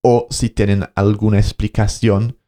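A man's voice: lowish voice, little pitch movement, unhurried, mellow. A man co-hosting a podcast, telling a story in casual conversation, hesitating and searching for words as he goes. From Colombia. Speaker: podcast co-host.

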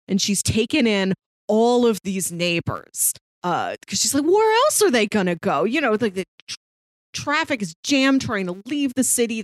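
A woman's voice: medium pitch, no echo. A woman chatting casually and enthusiastically about films as a podcast co-host. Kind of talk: casual film discussion chat.

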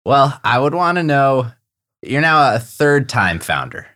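A young adult man hosting a podcast, reading a scripted introduction and then interviewing a guest in a measured, friendly way. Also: mid-range voice, sing-song, bright, forceful tone, no echo, clean studio sound. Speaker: podcast host.

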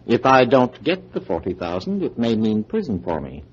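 Suave, husky radio-drama blackmailer, swooping delivery. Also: male voice, fairly low pitch, huge pitch swings, normal speed, full character voice. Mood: slyly pressuring. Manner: suave, condescending, menacing. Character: smooth, scheming, cruel male blackmailer. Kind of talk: old-time radio drama acting. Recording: dull, muffled vintage radio recording.

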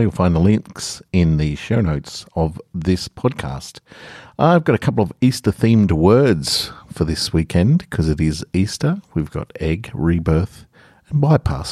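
A man's voice: deep voice, darkish tone, no room sound. An Australian man hosting a podcast and reading stories, speaking close to the microphone. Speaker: podcast host and story reader. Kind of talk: casual podcast introduction, then first-person horror story narration. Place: garage.

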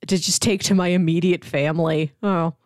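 A woman's voice: on the low side, fairly even intonation, moderate pace, no echo, studio quality. A woman co-hosting a podcast, chatting casually and conversationally about films. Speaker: podcast co-host.

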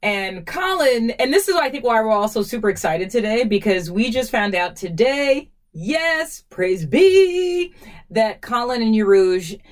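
A woman in her forties hosting a casual podcast, animated and laughing easily, with a quick exasperated edge. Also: on the high side. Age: 40s. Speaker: podcast host. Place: studio.

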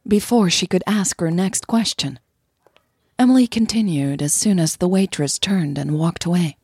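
Husky-voiced woman, close and dry. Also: fairly low pitch, normal speed, a little mellow, dry recording. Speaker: podcast host and narrator. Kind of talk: scripted story narration and listener thanks.

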